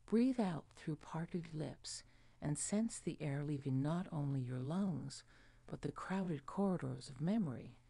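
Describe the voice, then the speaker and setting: A woman narrating a guided sleep hypnosis in a soft, soothing, hushed manner. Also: sits low, gravelly, no echo. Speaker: meditation guide.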